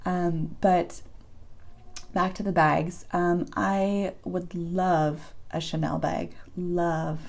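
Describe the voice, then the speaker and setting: A woman in her thirties with a hoarse voice, chatting casually to her viewers as she answers their questions, with frequent ums. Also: fairly low pitch, level tone, drawn-out words, a little mellow. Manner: chatty, many ums, open about personal experiences. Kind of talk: casual chat to camera.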